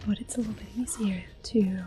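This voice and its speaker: A woman giving gentle, unhurried, soft whispered ASMR commentary on a game as the host. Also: medium pitch, fairly even intonation, over music.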